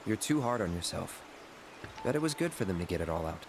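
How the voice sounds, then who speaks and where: An actor voicing a terse, reserved young fighter in a video game, with a blunt, clipped delivery. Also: male voice, deep voice, very animated, a little fast, background noise. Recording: game audio with music behind.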